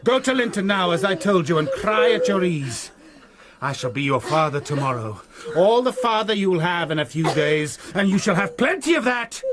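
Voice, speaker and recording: A man's voice: high voice, sing-song, moderate pace, a crowd in the background. A man playing a cruel, mocking master. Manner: mocking, domineering, bursts into shouting. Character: menacing, cruel master of a moorland house, man. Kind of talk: radio drama acting.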